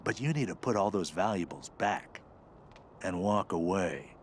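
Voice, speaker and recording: A man playing a stern, commanding lawman in a video game, speaking with measured menace. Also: low-pitched, animated, a little hiss or hum. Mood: stern warning. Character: stern male lawman colleague, firm and menacing. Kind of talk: video game character acting.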